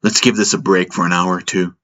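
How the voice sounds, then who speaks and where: Deep, grumbling, weary starship commander, acted by a man. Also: flat delivery, fairly quick, sharp tone. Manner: grumbling, stiff. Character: grumbling, weary, proud male ship's commander.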